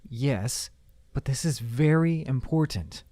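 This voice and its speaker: A man's voice: medium pitch, expressive, takes it slowly, mellow, no room sound, studio quality. A man playing a determined mage in an audio drama, speaking politely and theatrically with cool insistence. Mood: coolly threatening. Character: determined male mage hunting a wanted man. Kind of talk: dramatic audio fiction acting.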